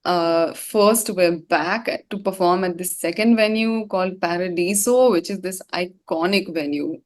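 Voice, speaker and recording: Low-pitched woman, chatting informally. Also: unhurried. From India. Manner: informal, slangy, rambling. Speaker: singer being interviewed. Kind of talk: casual chat.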